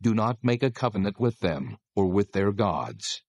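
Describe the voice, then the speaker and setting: A commanding divine lawgiver, low voice, solemn n measured, close dry audio bible drama. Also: male voice, expressive, vocal fry, a little mellow, dry recording, dull audio. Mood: stern, promising. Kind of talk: dramatized scripture reading.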